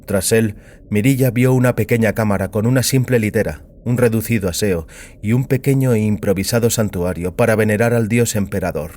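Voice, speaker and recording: A man's voice: on the low side, fairly quick, a little mellow. A man giving a scripted narration of a science fiction audiobook. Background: music.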